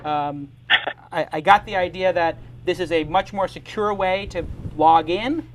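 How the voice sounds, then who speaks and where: Middle-aged male host, high voice, brisk and joking, faint background hiss. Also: rough recording. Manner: brisk, joking, talks fast in bursts. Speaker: podcast host. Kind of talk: live startup show hosting.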